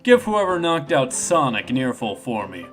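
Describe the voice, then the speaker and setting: A man voicing a gruff, suspicious animal cowboy sheriff in a video game, with a folksy Western drawl. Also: medium pitch, very animated, normal speed, soft background music, natural voice. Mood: commanding.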